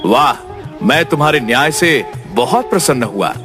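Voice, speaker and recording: A man voicing a stern but fair king who gives judgments in a cartoon story, speaking in a measured, commanding way. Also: mid-range voice, expressive, over music, close to an everyday voice. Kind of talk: dubbed cartoon acting.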